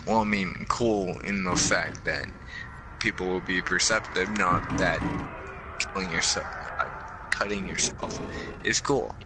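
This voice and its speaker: A teenage boy with a lowish voice chats with friends on a call, sarcastic and joking, stumbling over the starts of his sentences. The recording is noisy, with a steady hiss under his voice. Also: a little slow. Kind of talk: casual chat.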